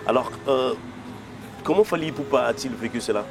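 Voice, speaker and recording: A man's voice: medium pitch, brisk, gravelly. A man interviewing a singer, asking friendly questions and prompting with short murmurs. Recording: outdoor street noise behind.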